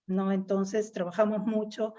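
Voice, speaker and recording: A woman's voice: mid-range voice, level tone, unhurried, dark tone, dull audio. A middle-aged woman from Peru with a Peruvian Spanish accent, speaking as a guest poet in a reflective interview, often ending thoughts with "¿no?". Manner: reflective, with frequent "¿no?" tags and "este" fillers. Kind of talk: conversational interview.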